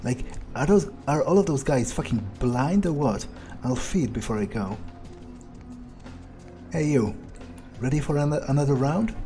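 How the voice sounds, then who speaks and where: A man's voice: mid-range voice, expressive, measured pace, long pauses, mellow. A man doing an in-character game playthrough, musing aloud with plenty of swearing and hesitant phrasing. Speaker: gamer playing in character. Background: game music.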